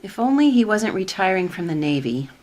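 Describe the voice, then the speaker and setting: A woman playing a skeptical, wry commission staffer in a sitcom-style radio play, speaking in a measured way. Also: medium pitch, sing-song, darkish tone.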